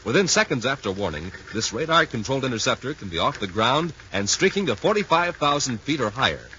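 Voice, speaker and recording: Bright, forceful American male radio announcer. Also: mid-range voice, very animated, brisk. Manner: emphatic, punchy. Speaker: announcer and narrator. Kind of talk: 1950s radio announcing, dramatic narration. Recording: muffled, faintly noisy 1950s radio recording.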